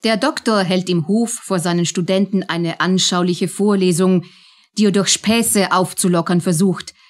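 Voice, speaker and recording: A woman's voice: on the low side, steady tone, normal speed. A woman narrating a German radio play in a scripted, storytelling style.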